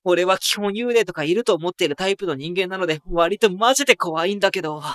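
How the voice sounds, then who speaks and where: Wry high school boy narrator. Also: quick pace, fairly bright tone, no room sound. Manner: dry, musing asides. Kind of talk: first-person anime audio drama narration.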